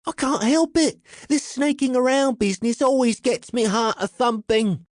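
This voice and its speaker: A man's voice: very high voice, moderate pace, no room sound, pitched above the performer's own voice. A man voicing a tall, nervous crook with a Cockney accent and an anxious, chattering manner in an audiobook.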